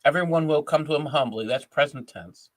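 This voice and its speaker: A man's voice: a little high, brisk, a bit bright. A man co-hosting a casual discussion, explaining points step by step like a teacher going over grammar. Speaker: co-host, educator.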